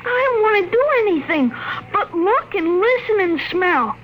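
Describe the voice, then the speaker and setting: A woman's voice: very high-pitched, lively intonation, moderate pace, fairly bright tone. A woman playing a spoiled, defiant young boy in classic radio drama, whiny and stubborn. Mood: whiny, sullen. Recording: clearly noisy old radio recording.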